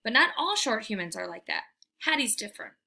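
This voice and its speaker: Storybook dog, played by a woman, eager and devoted, high pitched, exclamatory. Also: very animated, fairly quick, vocal fry, bright, forceful tone, full character voice. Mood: indignant, insistent. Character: excitable, devoted male pet dog narrator.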